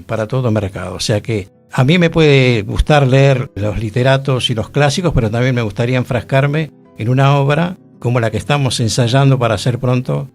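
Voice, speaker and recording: A man's voice: mid-range voice, gravelly. A man who co-hosts a cultural radio discussion and also acts, speaking conversationally and drawing others in. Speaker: radio show co-host and actor.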